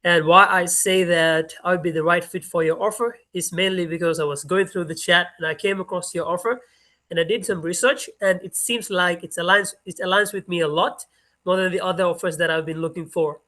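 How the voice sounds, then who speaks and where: A man's voice: high voice, steady tone, brisk, sharp tone. A man from Sri Lanka earnestly introducing himself over a video call in an online class. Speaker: student on a call. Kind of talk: short self-introduction. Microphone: video call mic.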